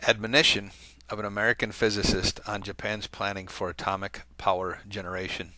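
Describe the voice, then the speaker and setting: Male channel host, low-ish voice with a forceful edge, reads a letter aloud conversationally, stumbling now and then, dry studio sound. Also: little pitch movement, a little fast, dry recording, rough recording. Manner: conversational, with hesitant fillers and self-corrections. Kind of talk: reading a letter aloud to viewers.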